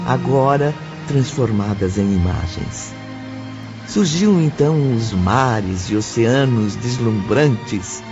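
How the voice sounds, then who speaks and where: Slow male narrator, solemn n poetic, audio novel, music loud behind, bit dull sound. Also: medium pitch.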